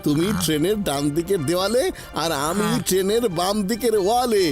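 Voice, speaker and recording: A man's voice: high-pitched, animated, a little fast. A man voicing a hungry, exasperated cartoon hero in an exclamatory, whining way. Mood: challenging. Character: hungry, exasperated male cartoon hero who loves snacks. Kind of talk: animated cartoon dubbing. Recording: music behind the voice.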